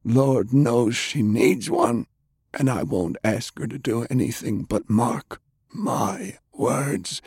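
A man voicing a ruthless, ailing old general in an audiobook, with a breathy, strained, grave and deliberate delivery. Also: medium pitch, darkish tone, dry recording, clean studio sound, pitched above the performer's own voice, heavily acted. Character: ruthless old male general, sickly war leader.

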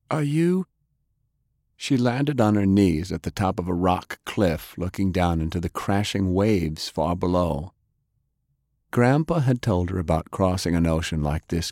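A man with a fairly low voice reads scripted fiction as an audiobook narrator. The recording is very dry, with no audible room around him. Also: dark tone, dry recording.

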